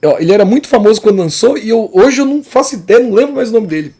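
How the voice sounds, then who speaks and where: Chatty high-voiced art teacher. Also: male voice, expressive, very fast, dark tone, breathy edge. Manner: rambling and conversational, with restarts and slang. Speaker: art teacher giving feedback. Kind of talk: casual spoken art lesson.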